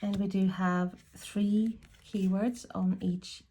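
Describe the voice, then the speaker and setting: A woman from Ireland with a Swedish-tinged accent, chatting casually in a slow, thoughtful, meandering way as she reviews tarot and oracle decks at home. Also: mid-range voice, steady tone, mellow, low-quality recording. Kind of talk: casual deck review chat.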